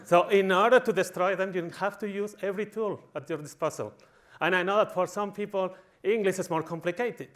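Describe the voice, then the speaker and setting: A man's voice: high-pitched, fairly even intonation, fairly quick, few pauses, a bit bright. Spanish-accented man giving a tongue-in-cheek talk. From Spain. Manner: tongue-in-cheek, mock-villainous. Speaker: conference speaker. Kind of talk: humorous conference talk to a live audience.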